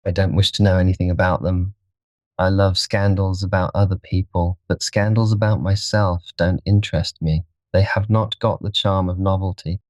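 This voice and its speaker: A man voicing a petulant, haughty, world-weary young gentleman with languid contempt, in an audiobook. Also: sits low, level tone, normal speed, dry recording, close to an everyday voice. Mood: bored, dismissive. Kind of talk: audiobook character reading.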